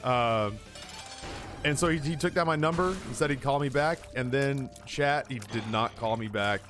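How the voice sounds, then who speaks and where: A man's voice: mid-range voice, moderate pace, a bit bright, soft background music. A man in his thirties who streams, telling stories in a rambling, profane, self-mocking chat. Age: 30s. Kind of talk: casual storytelling chat.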